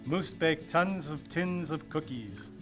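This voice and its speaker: A man's voice: fairly high pitch, lively intonation, slow pace. A man reading a children's storybook aloud in a playful, theatrical way. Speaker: storybook reader. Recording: music playing behind the voice.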